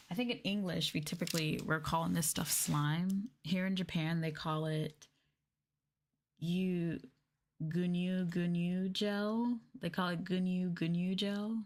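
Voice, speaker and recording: A woman speaking softly and intimately in a casual ASMR chat, at times dropping to a whisper. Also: lowish voice, fairly even intonation, unhurried. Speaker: streamer, VTuber.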